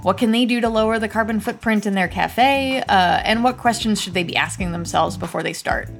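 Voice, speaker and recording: A woman from the United States hosting a podcast, reading scripted narration in a clear, upbeat way. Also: medium pitch, brisk, soft background music. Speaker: podcast host.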